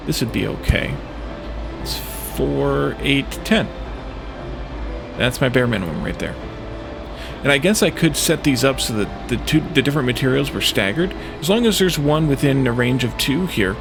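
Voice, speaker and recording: A man giving casual gameplay commentary as a let's play host, thinking aloud in a hesitant, halting way. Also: medium pitch, gravelly. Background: music in the background.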